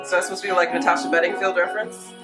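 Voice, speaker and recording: A woman's voice: fairly low pitch, steady tone, racing. A woman with a slightly congested, nasal voice from a cold, chatting casually and rambling through an unscripted music reaction, peppering it with "like". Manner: chatty, rambling, filler-heavy with frequent "like". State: getting over a cold.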